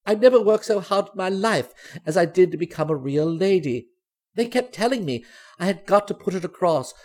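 A man's voice: a little high, a little mellow, voice pushed down. A man voicing a proud middle-aged mother and former stage performer, earnest and confiding, in a storybook reading.

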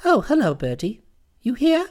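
A woman's voice: fairly high pitch, wildly swooping pitch, moderate pace, a little mellow. A woman voicing a breezy, scheming young woman. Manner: breezy, matter-of-fact, teasing.

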